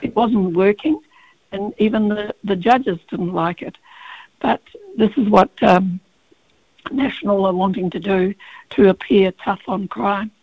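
A woman with a croaky, gravelly voice, a barrister speaking as a guest on a radio interview over the phone, halting with many fillers and restarts. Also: mid-range voice, no echo. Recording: tinny phone line.

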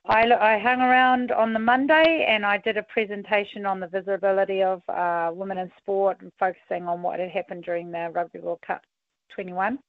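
A woman in her forties with a husky voice, a rugby union deputy chair giving relaxed, filler-heavy radio interview answers. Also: medium pitch, fairly quick, dry recording. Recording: tinny phone line.

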